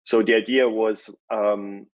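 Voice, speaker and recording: A man from Switzerland gives a relaxed conference talk, pausing on fillers and restarting his sentences. His voice sits very low and stays flat, and the sound is dull and lo-fi, as over a video call. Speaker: conference speaker, company CEO. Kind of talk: presentation talk.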